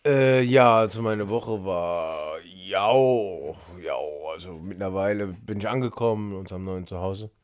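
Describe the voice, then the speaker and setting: A man's voice: deep voice, unhurried, few pauses. A man co-hosting a casual podcast, joking and laughing often and trailing off mid-sentence. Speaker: podcast co-host. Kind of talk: casual chat.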